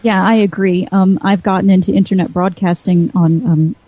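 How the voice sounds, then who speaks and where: A woman's voice: fairly low pitch, steady tone, normal speed, dry recording, highs cut off. A woman chatting casually on a podcast panel, hesitant, with many fillers and restarts.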